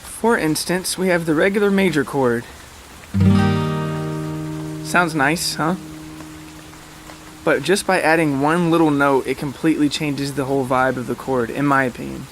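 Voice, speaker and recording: A man's voice: a little high, steady tone, unhurried, pauses often, over music. A man hosting a podcast in a casual, rambling monologue, informal, hesitant and self-deprecating. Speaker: podcast host.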